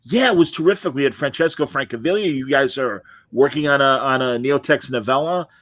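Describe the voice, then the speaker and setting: A middle-aged man hosts a relaxed interview show. His talk is chatty and informal, with an enthusiastic streak, and his voice sits at an ordinary male pitch in a dry, close recording. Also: fairly quick, dry recording. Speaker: podcast host and interviewer. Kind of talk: casual interview chat.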